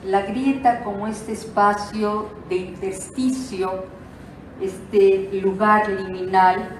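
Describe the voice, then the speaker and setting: A woman moderating a literary event, introducing a guest in measured, deliberate phrases. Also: mid-range voice, darkish tone. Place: auditorium at a book fair. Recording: distant echoey auditorium, faint noise.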